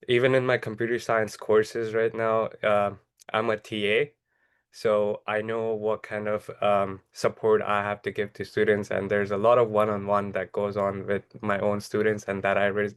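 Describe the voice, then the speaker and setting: Young man, student panelist on a video call; smooth, resonant voice with a level, flat pitch and earnest delivery. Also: moderate pace. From India. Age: young adult. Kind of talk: casual webinar answer.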